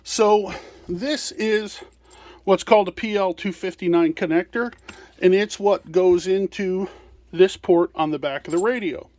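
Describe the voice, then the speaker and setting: A man with a fairly high voice walks through products as a presenter. His delivery is informal and step-by-step, with some hesitation. The sound is dry, with very little room in it. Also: measured pace, a little mellow, no room sound. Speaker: product presenter and small business owner.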